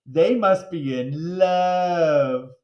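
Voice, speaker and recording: A man voicing a mocking, sing-song schoolboy from a children's story in an exaggerated, drawn-out way. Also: fairly high pitch, dull audio, pitched above the performer's own voice. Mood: gleeful teasing. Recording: roomy, echoing room.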